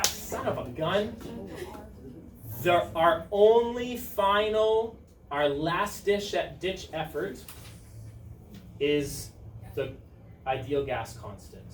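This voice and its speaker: A man in his thirties teaching a chemistry review class, talking in a dry, fairly monotone way and quizzing his students as he works through problems. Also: sits high, slow pace, pauses often, a little hiss or hum. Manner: dry, self-described monotone, asks students questions. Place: high school classroom. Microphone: far camera at the back of the room. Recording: roomy classroom sound from a distance with students around.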